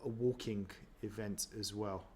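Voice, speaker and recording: A man's voice: on the low side, steady tone, measured pace, dark tone, a little hiss or hum. Chatty man reacting to a video. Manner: chatty and self-deprecating, many ums and restarts. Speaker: running vlogger. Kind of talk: casual reaction commentary.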